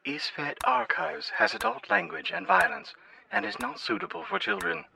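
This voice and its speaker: A man's voice: sits high, moderate pace, gravelly, sharp tone, slight background noise. A man reading a scripted disclaimer and promo as an announcer.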